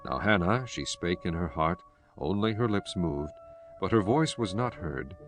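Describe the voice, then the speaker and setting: A man narrating scripture from a script in a solemn, measured storytelling manner. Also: sits low, expressive. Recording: music bed behind the voice.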